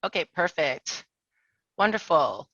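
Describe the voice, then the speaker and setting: A woman's voice: low-pitched, big pitch swings, slow pace, fairly bright tone, dry recording, dull audio. A woman presenting an online career workshop as an HR director, chatty and self-deprecating with jokes. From Toronto, Canada. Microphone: video call.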